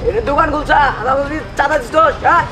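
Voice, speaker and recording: A bullying cartoon thug acted by a man, extremely high-pitched for a man. He barks menacing challenges over loud music and crowd noise. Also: racing. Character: bullying male neighborhood extortionist thug. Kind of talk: Bengali comedy cartoon dubbing.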